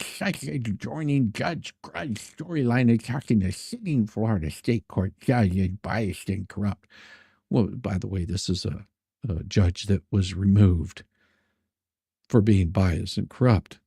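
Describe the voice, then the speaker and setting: A man hosts an informal livestream in a low, mellow voice. His tone is dark and a little husky, captured close and dry, with a sarcastic streak in how he talks and reads. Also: no echo. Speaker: streamer, host of his own channel. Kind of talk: casual livestream chat and dramatic reading of letters.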